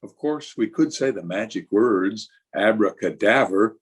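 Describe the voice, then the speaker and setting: A man's voice: on the low side, expressive, a little slow, slightly rough. A man playing a ghoulish, wisecracking old-time radio horror host with a sinister, punning, theatrical manner. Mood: ghoulish glee.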